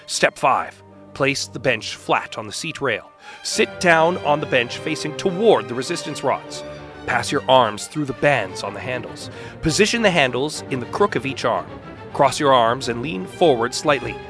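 A man's voice: medium pitch, expressive, normal speed. A man reading scripted instructional text aloud as a staged dramatic reading. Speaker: announcer and reader. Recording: music behind the voice.

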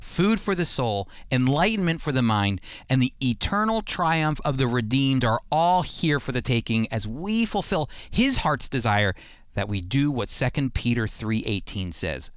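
A man's voice: medium pitch, sing-song, measured pace, clean tone, no room sound. A man hosting a radio Bible program, preaching conversationally and earnestly with emphatic, repeated phrasing into a close studio mic. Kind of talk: conversational radio sermon with scripture reading.

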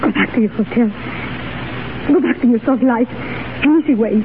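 An actress playing a jealous, defiant young camp-follower of the French Legion in a 1930s radio drama, speaking with impassioned declamation. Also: female voice, on the high side, normal speed, lightly acted. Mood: wounded, resolute. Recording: scratchy, narrow-band 1930s radio recording.

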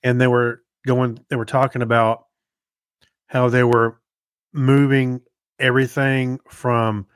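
A man's voice: medium pitch, level tone, takes it slowly, long pauses, smooth, no room sound. A man co-hosting a podcast, chatting casually and explaining things in a loose, rambling way. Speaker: podcast co-host. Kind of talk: casual chat.

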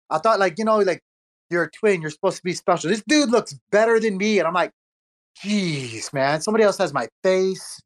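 A speaker chatting casually and slangily, with a playfully dramatic way of complaining. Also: medium pitch. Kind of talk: casual chat.

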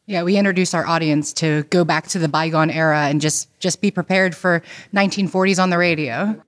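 A woman's voice: on the low side, fairly even intonation, fairly quick. A woman from a theatre company explaining its history in a matter-of-fact way. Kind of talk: casual interview answers.

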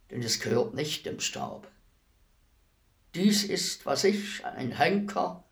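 A calm executioner in a radio drama, despised and brooding over his trade. He speaks very deliberately in a mid-pitched voice, giving each phrase grave, solemn weight as he addresses his victim. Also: gravelly, heavily acted. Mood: calm, menacing. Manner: measured, grave, deliberate declamation. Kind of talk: solemn radio play monologue.

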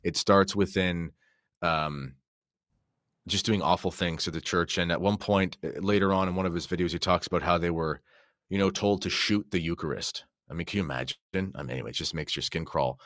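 A man giving casual commentary as a podcast guest, halting now and then as he thinks aloud. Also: sits low, a bit bright, no echo, clean studio sound.

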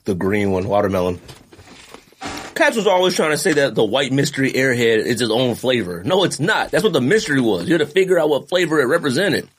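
A man's voice: medium pitch, sing-song, brisk, husky. A man hosting a podcast, chatting casually and laughing as he tastes snacks, in laid-back slang. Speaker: podcast host. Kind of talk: casual chat, snack tasting.

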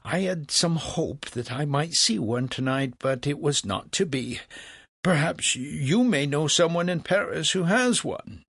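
A man's voice: fairly high pitch, lively intonation, unhurried, creaky, fairly bright tone, slightly rough, no echo, studio quality, pitched above the performer's own voice, exaggerated voice. A man voicing a wily old philosopher in a storybook, courteous and theatrically coy. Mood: wistful. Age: older. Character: wily old philosopher, flattering and feigning wistfulness.

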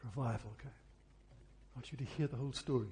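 Man, revival preacher, very husky, earnest, deliberate, repetitive emphasis, echoing hall. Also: mid-range voice, dark tone. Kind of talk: church revival sermon. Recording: husky, very reverberant church hall sound.

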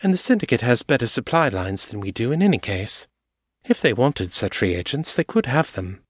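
A man's voice: medium pitch, very animated, moderate pace, dry recording, pitched above the performer's own voice. A man voicing a haughty, aristocratic female vampire who speaks in a polished, condescending way. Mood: measured, cool. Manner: polished, formal, patronizing.

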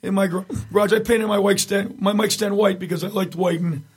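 Raspy middle-aged man, high husky voice. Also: fairly even intonation, brisk. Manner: rambling storyteller, many restarts, frequent chuckles. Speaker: guest, tribute singer. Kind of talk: casual interview chat.

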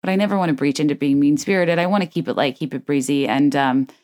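A woman in her thirties hosting a solo podcast, chatting in a wry, rambling way with a low, soft late-night voice. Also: fast. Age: 30s. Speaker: podcast host. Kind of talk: casual solo podcast chat.

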